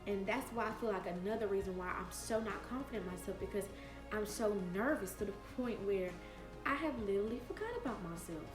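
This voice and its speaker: A woman's voice: medium pitch, fast talker, echoey room, music in the background, low-quality recording. A woman in her late twenties telling a personal story on her vlog, earnest and emphatic, repeating phrases to drive her point home. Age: 20s. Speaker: vlogger.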